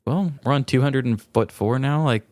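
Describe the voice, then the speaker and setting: A man's voice: medium pitch, moderate pace, darkish tone, no echo, clean studio sound. A man co-hosting a true-crime podcast, reacting with exclamations in casual chat. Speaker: podcast co-host.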